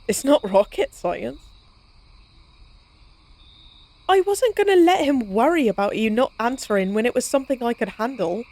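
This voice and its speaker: A woman's voice: fairly high pitch, expressive, fairly quick, darkish tone. A woman playing a playful, cocky, science-obsessed vampire in an intimate audio roleplay, speaking in a casual, teasing, wry way. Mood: defensive, casual.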